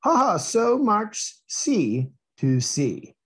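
A man as a husky, playful water drop. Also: sits high, huge pitch swings, extremely slow, a bit bright, pitched above the performer's own voice, exaggerated voice. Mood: playful, delighted. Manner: playful exclamations. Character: cheerful, playful ancient female water drop. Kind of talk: children's storybook read-aloud.

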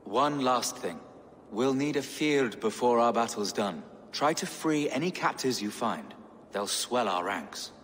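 An actor playing a pious, worried medieval bishop in a video game. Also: male voice, mid-range voice, lively intonation, a little slow, a bit bright, big-room sound, slight background noise. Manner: weary, pious, informative. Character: worried portly medieval bishop, kindly ally. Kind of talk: video game cutscene acting.

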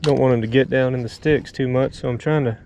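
A man giving relaxed running commentary while fishing from a small boat on a pond, recorded on a head-mounted action camera. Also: mid-range voice, fast. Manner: relaxed, talks to himself while fighting fish. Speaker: fishing vlogger. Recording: outdoor action camera with clear background noise and reel clicks.

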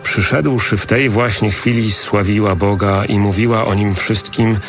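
A man narrating scripture from a script in a measured, solemn way. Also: on the low side, fairly even intonation, soft background music.

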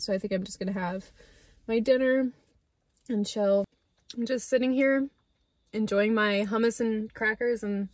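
A woman's voice: medium pitch, no echo. A young woman in her twenties, casually chatting to a camera while sitting inside her car on a road trip. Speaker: travel vlogger. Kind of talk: casual vlog chat. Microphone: handheld camera on the dashboard.

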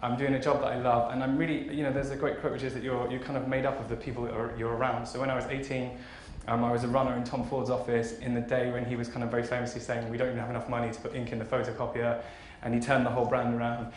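Guy around 30 chatting through a keynote, talks quick, bit of noise behind him. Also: medium pitch, level tone. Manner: conversational, enthusiastic. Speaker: keynote speaker, fashion marketing executive. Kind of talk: informal conference keynote. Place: large conference auditorium stage. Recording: roomy hall echo, faint background noise.

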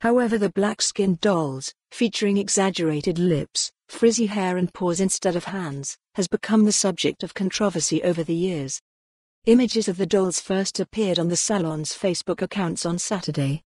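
Breathy, dry text-to-speech news reader. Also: medium pitch, normal speed, no echo. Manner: steady and even, reads page links and headings out word for word. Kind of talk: reading a news article aloud.

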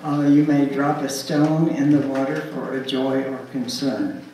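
An older woman leading a church service, reading formally and deliberately from the pulpit. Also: a bass voice, fairly even intonation, dark tone. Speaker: worship service leader. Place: church sanctuary, indoors. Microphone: pulpit microphone, recorded by far camera. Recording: very echoey, distant, faint room noise.

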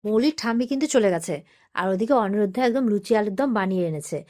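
A woman's voice: medium pitch, expressive, fast talker, darkish tone. A woman narrating a TV serial recap in a casual, chatty storytelling way, acting out the dialogue as she goes.

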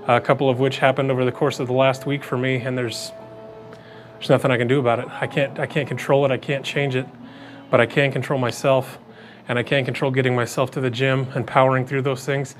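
A man speaks as a vlogger with an ordinary mid-pitched voice. He talks casually and directly to his audience in a plain, conversational way. Music plays faintly behind him. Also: little pitch movement, fairly quick. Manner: plain-spoken, conversational, addresses viewers directly. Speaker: vlogger on a weight loss journey. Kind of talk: casual vlog talk to camera.

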